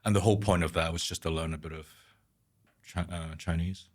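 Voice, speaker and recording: A Scottish-born man being interviewed as a guest, talking in a hesitant way, repeating words and trailing off quietly. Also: sits low, steady tone, a little fast. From Scotland. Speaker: guest architect. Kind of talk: conversational interview.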